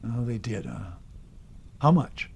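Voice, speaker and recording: An actor playing a bitter, cagey older American father in an audio drama, gruff, folksy and unsentimental. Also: medium pitch, wildly swooping pitch, slow, slight background noise, exaggerated voice. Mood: cagey, menacing.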